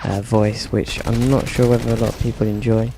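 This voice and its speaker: A man giving casual, monotone commentary over his own gameplay, with dry humour and many hesitations. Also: on the low side, fairly quick, slight background noise. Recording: game gunfire in the background.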